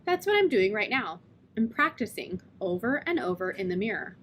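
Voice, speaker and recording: A woman reading a children's novel aloud in first person, with gently wry storytelling. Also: medium pitch, big pitch swings, moderate pace, a little hiss or hum. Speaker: reader.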